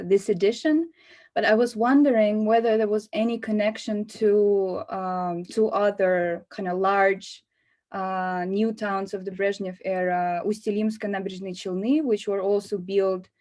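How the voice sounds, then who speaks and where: A woman's voice: medium pitch, a little mellow. A woman in the audience asking a polite, tentative question on a video call.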